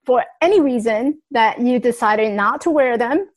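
A woman's voice: on the high side, animated, moderate pace. Woman from Taiwan telling her story. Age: adult. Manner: earnest, reflective, with small grammar slips. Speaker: speaker sharing her life story. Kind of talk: personal storytelling talk.

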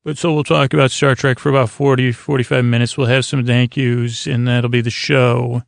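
A man hosting a bedtime podcast, rambling in a slow, languid, gentle and reassuring way with long, meandering pauses. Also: mid-range voice, flat delivery, creaky, no room sound, studio quality. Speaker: podcast host.

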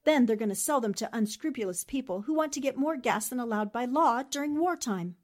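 Woman playing an animated, high-voiced plucky newspaperwoman. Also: a little fast. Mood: sharp deduction. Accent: American. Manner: earnest, resolute, dramatic. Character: brave, serious 1940s newspaperwoman investigator. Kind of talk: 1940s radio drama acting.

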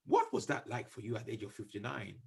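A middle-aged man with a gravelly, husky voice and lively, swinging intonation. He hosts a casual interview, asking his questions in a halting way with repeated words. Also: fairly low pitch. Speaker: podcast host, comedian.

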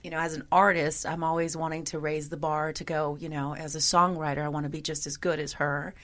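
A woman singer in a conversational interview, with a gravelly voice and a chatty manner full of "you know". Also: deep voice, flat delivery, a little fast, fairly bright tone.

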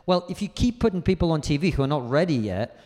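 A comedian guest speaking in a live stage conversation, forthright and emphatic and swearing freely. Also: male voice, fairly high pitch, sing-song, quick pace, a little mellow, big-room sound. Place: live venue stage before an audience.

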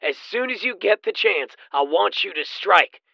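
A man's voice: high voice, lively intonation, moderate pace, vocal fry, bright, forceful tone, no echo, exaggerated voice. A man playing a curt, menacing crime boss giving orders over a two-way radio in an audio drama. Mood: commanding, urgent. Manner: terse, commanding. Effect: two-way radio filter. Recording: dull lo-fi radio sound.

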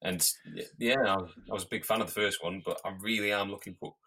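A man's voice: sits low, fast talker, a little hiss or hum, low-quality recording. A man co-hosting a podcast, chatting casually and animatedly, throwing in silly voices. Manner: animated, puts on silly voices when quoting people. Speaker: podcast co-host. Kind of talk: casual chat.

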